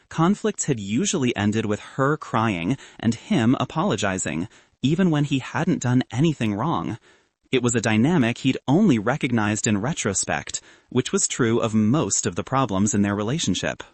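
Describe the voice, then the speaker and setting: Male audiobook narrator, animated pitch range, close dry recording, scripted storytelling. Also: moderate pace, no echo.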